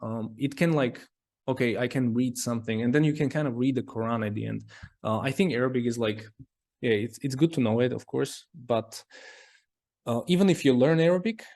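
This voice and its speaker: A man's voice: mid-range voice, fairly quick, a little mellow. A man as an interview guest in casual conversation, talking in loose, rambling sentences full of "like". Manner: rambling, heavy use of "like".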